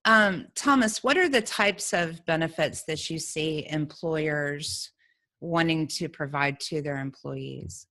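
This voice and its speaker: A woman's voice: low voice, takes it slowly, a bit bright. A woman hosting a conversational interview show. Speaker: show host.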